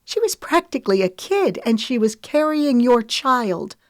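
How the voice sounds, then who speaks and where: A woman voicing an enraged young woman, shrill and trembling with outrage. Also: very animated, takes it slowly, a bit bright, no echo, studio quality, exaggerated voice. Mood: outraged. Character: furious young woman confronting a killer.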